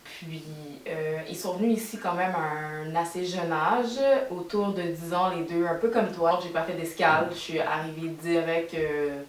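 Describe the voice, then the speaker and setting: Young québécoise woman on a podcast, low voice, kinda far from the mic in an echoey room. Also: fairly even intonation, normal speed, few pauses. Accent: Québécois French. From Montreal, Quebec, Canada. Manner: reflective, many fillers and restarts. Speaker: podcast co-host. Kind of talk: casual podcast chat.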